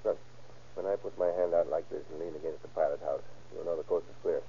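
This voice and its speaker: Low-voiced, deferential old-radio boat captain. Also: male voice, level tone, fast talker, gravelly, warm tone. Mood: folksy, accommodating. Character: deferential male boat captain, a plain-spoken witness. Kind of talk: 1940s radio acting. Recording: muffled, hissy old radio recording.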